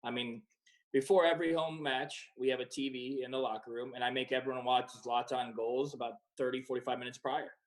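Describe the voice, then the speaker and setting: A man in his twenties, a professional soccer player who grew up in Croatia and the United States, answering in a relaxed way with many fillers. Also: mid-range voice, a little fast, low-quality recording. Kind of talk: casual interview answers.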